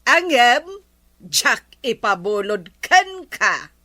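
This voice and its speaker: A grudging Ilocano woman neighbour in a radio drama, drawing out her words pointedly. Her voice is very high and sharp, paced slowly, in a dry studio sound. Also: sing-song, dry recording, heavily acted. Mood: refusing. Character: grudging female neighbour refusing to lend her things.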